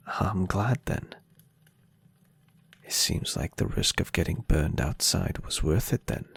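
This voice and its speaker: A man voicing a gentle, adoring, centuries-old vampire boyfriend in a soft-spoken, tender and unhurried ASMR roleplay. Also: sits low, exaggerated voice. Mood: tender, affectionate. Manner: tender, breathy, unhurried, softly teasing.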